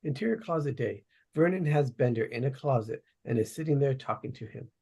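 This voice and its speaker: Mellow, husky man reading stage directions. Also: mid-range voice, lively intonation, a little fast. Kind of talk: plain narration at a table read.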